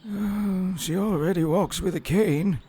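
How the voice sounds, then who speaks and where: An actor playing a guarded, grumbling older husband in an audio drama, with a folksy, exasperated manner. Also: male voice, sits high, measured pace, warm tone, slight background noise. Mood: weary, incredulous.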